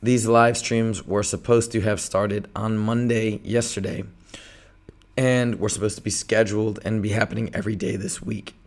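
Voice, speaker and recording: Hoarse man tutoring math live. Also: on the low side, normal speed. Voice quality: gravelly, strained. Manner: energetic, talks through problems step by step. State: hoarse, losing his voice.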